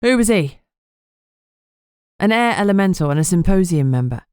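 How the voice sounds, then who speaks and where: A woman's voice: lowish voice, lively intonation, moderate pace, darkish tone, clear, resonant tone, no room sound, lightly acted. A determined woman investigator, acted by a female narrator, talks bluntly and gets straight to business. The audiobook sound is dry and close. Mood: brisk. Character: brusque, determined female investigator questioning her informant. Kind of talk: audiobook character dialogue.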